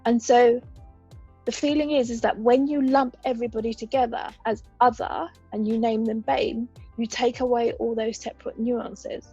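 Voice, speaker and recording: Brief: woman, 30s, raised partly in Uganda, husky and fairly high voice, measured explainer, dull lo-fi podcast sound. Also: flat delivery, dark tone, faint music behind. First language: a Ugandan language. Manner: thoughtful, explanatory, lays out examples. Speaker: podcast guest. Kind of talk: conversational interview.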